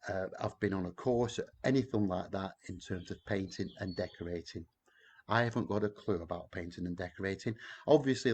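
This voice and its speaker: A man's voice: lowish voice, a little mellow. A middle-aged man with a Lancashire accent, chatting casually and joking with viewers on a live stream from his back garden. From Blackburn, Lancashire, England. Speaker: tour guide hosting a live chat.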